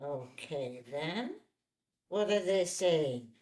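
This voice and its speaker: Woman playing a teasing deadpan office coworker, takes her time, swoopy pitch, table read. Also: drawn-out words, full character voice. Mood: skeptical, teasing. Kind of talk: filmed screenplay table read.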